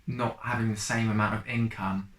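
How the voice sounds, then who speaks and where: A man's voice: lowish voice, flat delivery, moderate pace, roomy sound. A man in his twenties, a football fan, speaking reflectively in a conversational documentary interview. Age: 20s.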